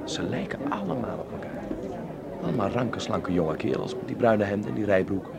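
A man's voice: on the low side, very animated, a little fast, vocal fry, over a noisy crowd, heavy background noise. A male actor playing a naive, easily embarrassed young Dutchman, chatty and flustered. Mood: embarrassed, curious. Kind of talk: radio drama acting. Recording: loud music behind the voice.

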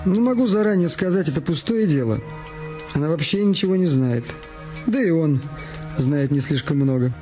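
A man plays a police detective in a radio crime drama, reporting in a fairly high male voice. Music is clearly audible behind. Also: lively intonation, normal speed, warm tone, lightly acted. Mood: matter-of-fact.

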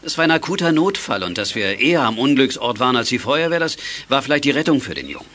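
A man's voice: mid-range voice, sing-song, fast talker, pushed and bright. A man playing a dependable, good-natured middle-aged father and repairman in a children's radio play. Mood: brisk, calm. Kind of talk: 1980s German children's radio play acting.

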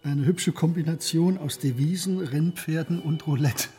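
A man's voice: a little high, moderate pace, mellow, soft background music, lightly acted. An actor playing a brooding, guilt-ridden man in a radio play, speaking in a subdued, hesitant way. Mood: subdued, guilty.

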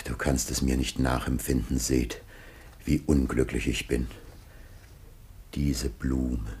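An anguished, aged patriarch of a biblical radio drama speaks in a very low voice. He declaims slowly and gravely in lofty, archaic phrases, as a man facing his death. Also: a little mellow, exaggerated voice. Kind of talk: solemn classical German radio play acting.

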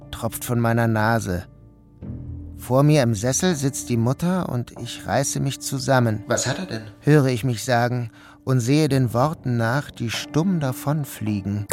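A man reads a surreal novel aloud, measured and subdued, at an ordinary middle pitch. Soft music sits faintly under his voice. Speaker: audiobook narrator. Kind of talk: literary first-person reading.

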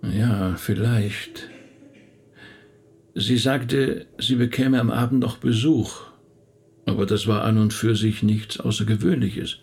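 An actor in a radio drama playing a reserved, courteous middle-aged Swiss rabbi. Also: male voice, on the low side, slow, vocal fry, a little mellow, husky, exaggerated voice. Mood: guarded, reflective.

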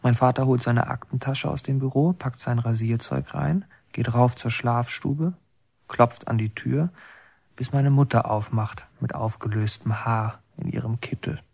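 A son narrating his childhood in a radio drama. The voice is husky and mid-pitched, recorded dry. He observes each detail in literary present tense. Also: steady tone, measured pace, a little mellow, dry recording. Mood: observant, restrained. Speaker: first-person narrator.

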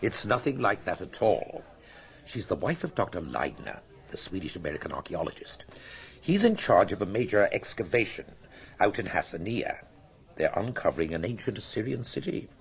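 An actor playing a genial, worldly British doctor, wry and conversational, in a radio drama. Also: male voice, sits low, big pitch swings, normal speed, creaky, exaggerated voice.

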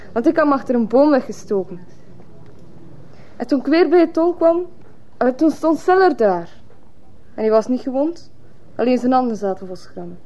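A woman's voice: high voice, dark tone. An actress playing a stubborn, blunt teenage runaway girl with a Flemish accent, testifying in a radio drama.

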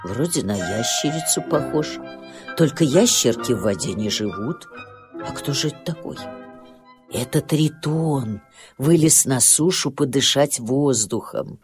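A woman narrating a children's story as an expressive storyteller, with deliberate pauses. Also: a bass voice. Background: music.

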